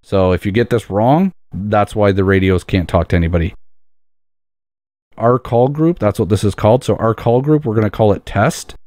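A man narrating a step-by-step software tutorial in an explanatory manner, repeating key points for emphasis. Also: fairly low pitch, no echo, studio quality. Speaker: tutorial presenter.

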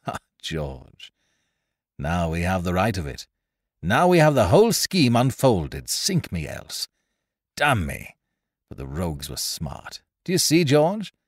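A man's voice: deep voice, wildly swooping pitch, takes it slowly, no room sound, clean studio sound, full character voice. A man voicing a reckless, jovial male sea adventurer who speaks in swaggering, old-fashioned seafaring talk. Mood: scheming. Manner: swaggering, archaic seafaring speech.

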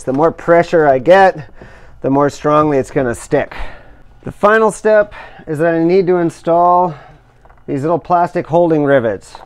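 Smooth-voiced man giving careful how-to steps. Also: fairly high pitch, darkish tone. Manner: careful, measured explaining while working with his hands. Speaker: instructor demonstrating kayak outfitting. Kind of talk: step-by-step how-to demonstration.

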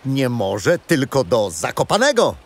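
Cheerful grandpa guide for children's history radio play: very lively intonation, explanatory, medium pitch. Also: male voice, unhurried, gravelly, faint music behind, heavily acted. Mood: playful, inviting. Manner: animated, explanatory storytelling to children. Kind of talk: children's audio drama acting.

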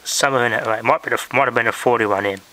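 A man's voice: mid-range voice, rapid-fire. A man casually thinking aloud in a muttering way as he gives a hands-on demonstration. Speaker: amateur video maker demonstrating a shortwave radio. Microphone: handheld camera mic. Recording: noisy handheld camera, loud radio static. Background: loud shortwave radio noise.

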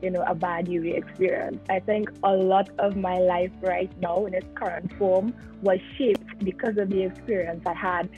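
A woman's voice: on the low side, warm tone. A woman, a postgraduate student talking about herself on a video-call panel. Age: 20s. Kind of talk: self-introduction on a panel. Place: indoor room, video call. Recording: dull video-call audio, faint music.

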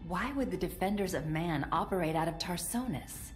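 Casting: actress for tough young female covert ops soldier, deep register, dry and terse, video game. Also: expressive, normal speed, soft background music, close to an everyday voice. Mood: guarded, firm. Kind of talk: video game cutscene acting.

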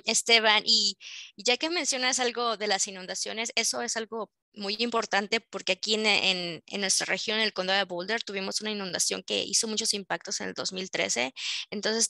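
Brief: woman panel moderator, friendly, gravelly bright voice, headset mic. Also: mid-range voice, no echo.

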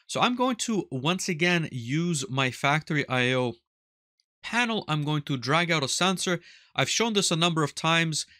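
Guy in his 30s doing a software walkthrough, kinda high bright voice, explains stuff methodically. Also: no echo, clean studio sound. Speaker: instructor. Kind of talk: tutorial narration with screen demonstration. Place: small indoor workshop room with wall of electronics.

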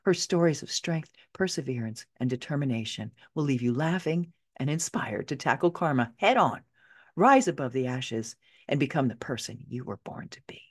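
Middle-aged woman, low pitch, highly varied intonation, author narrating audiobook, expressive, conversational, wry. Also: moderate pace, slightly rough. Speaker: author reading own book. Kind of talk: audiobook narration, first-person memoir.

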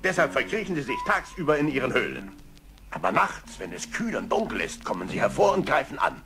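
A man playing a gruff, wise old grandfather mountain sheep in a children's radio play. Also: medium pitch, very animated, a little fast, music in the background, close to an everyday voice. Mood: grave warning.

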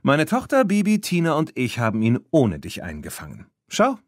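A brisk farm woman in an audiobook, acted by a male reader, sounding surprised and animated. The voice is lifted well up in pitch. The sound is dry. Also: no room sound. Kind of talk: audiobook character voice.

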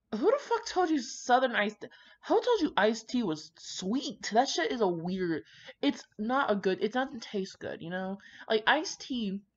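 A woman reading aloud casually with chatty asides, irreverent, slangy and sweary in her manner. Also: medium pitch. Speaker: host reading fan fiction aloud. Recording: dull, lo-fi recording.

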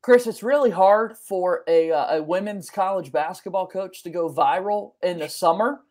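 A man's voice: sits high, moderate pace, a little mellow. A young adult man hosting a sports podcast in a conversational style over a video call. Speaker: podcast host. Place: small room at home, on a video call.

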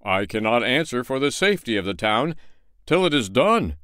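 A pompous storybook mayor who hems and haws before he commits to anything, voiced by a man reading aloud. The voice stays lively and a little raised, with a smooth, clear tone in a dry, close recording. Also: mid-range voice, normal speed, dry recording. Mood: hesitant. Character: cautious, pompous grown man town mayor.

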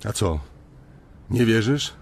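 A man playing a gruff, foul-mouthed middle-aged banker in a radio drama, blunt and confrontational. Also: lowish voice, animated, slow pace, full character voice. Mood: defiant, scornful.

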